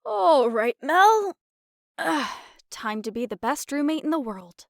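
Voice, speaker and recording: Young woman in an audio drama, sarcastic but caring, high animated voice, unhurried. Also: vocal fry, no room sound, clean studio sound, exaggerated voice. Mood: wry, casual. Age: young adult. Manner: casual, wry delivery.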